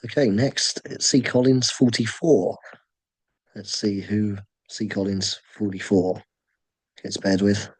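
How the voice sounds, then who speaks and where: Hoarse low-voiced man hosting a draw. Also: fairly even intonation, dry recording, poor audio. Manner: halting, many ums and uhs, low-key. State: sore throat, voice nearly gone. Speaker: host running a fantasy draw. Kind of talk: casual screen-share commentary.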